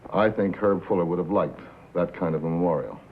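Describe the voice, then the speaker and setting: An American man acting as an earnest, probing radio reporter in a 1950s film drama, measured and plain-spoken. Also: low voice. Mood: earnest, sincere. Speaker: actor in a feature film. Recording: muffled vintage film soundtrack, faint noise.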